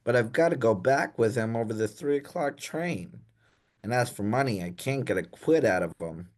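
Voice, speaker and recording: An actor playing an anxious, dim young gentleman in a comic period radio play, dithering and apologetic in posh old-fashioned slang. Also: medium pitch, expressive, normal speed, close to an everyday voice. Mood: sheepish. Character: anxious, dim young upper-class Edwardian gentleman in trouble.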